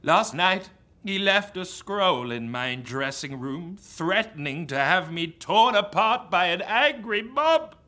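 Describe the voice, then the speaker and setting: An actor playing a frightened, bombastic stage player who declaims in Shakespearean style in a comedic audio drama. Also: male voice, sits high, animated, slow pace, sharp tone, full character voice. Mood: dramatic dread. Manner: declamatory, melodramatic.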